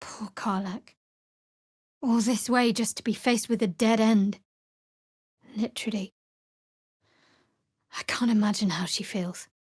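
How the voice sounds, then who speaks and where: A woman playing a guarded, wry fantasy cleric adventurer in a video game, with a dry, measured, faintly sardonic delivery. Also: mid-range voice, steady tone, a little mellow, breathy edge, no echo. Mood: subdued, sympathetic.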